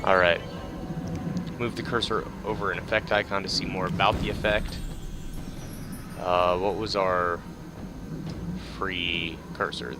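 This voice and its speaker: A man's voice: sits low, steady tone, a little slow, pauses often, noisy background. A man giving casual video game commentary in a laid-back, hesitant, rambling way, sometimes reading out tips. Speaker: game player commentating a playthrough. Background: game music.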